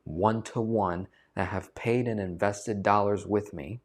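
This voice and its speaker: Male finance coach, fairly low voice, emphatic, repeats words to drive points home. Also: unhurried. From United States. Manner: emphatic, repeats phrases for stress. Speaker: finance coach and channel host. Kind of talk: direct-to-camera talk.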